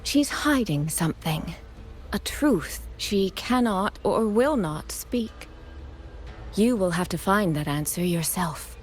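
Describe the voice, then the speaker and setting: A woman playing a composed, secretive young elder sister in a video game, speaking in a measured, playfully teasing way. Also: medium pitch, expressive, a little hiss or hum. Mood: serious, measured. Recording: music behind the voice.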